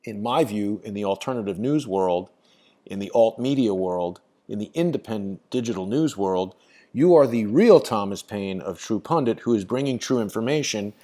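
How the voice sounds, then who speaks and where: A man's voice: fairly low pitch, expressive, normal speed, dark tone. A man hosting a call, talking insistently and repeating his points. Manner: insistent, repetitive. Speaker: host. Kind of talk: casual phone conversation.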